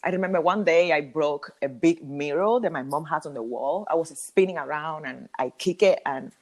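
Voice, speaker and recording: A woman in her thirties with a Cuban Spanish accent, a native Spanish speaker, telling her story as a podcast guest with lively ums and laughs. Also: low-pitched, normal speed, low-quality recording. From Cuba. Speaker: podcast guest, hair colorist. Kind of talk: casual interview talk.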